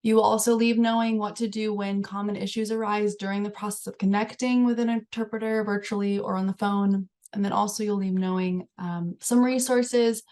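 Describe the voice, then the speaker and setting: A woman's voice: medium pitch, mellow. A woman research coordinator opening a webinar, reading an introduction from notes with "um" fillers, on a computer mic. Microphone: computer mic on a video call.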